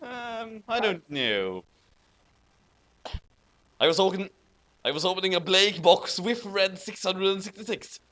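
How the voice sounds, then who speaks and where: A man's voice: sits high, lively intonation, unhurried, pauses often, fairly bright tone. A man reading fan fiction aloud theatrically, with amused, giggly commentary. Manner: theatrical, hammy emphasis; giggly, breaking into laughter.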